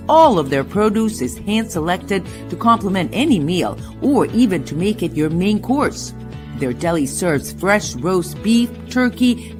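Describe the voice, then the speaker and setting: A woman reading a scripted radio commercial for a sponsor. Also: on the low side. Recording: clear music bed behind voice.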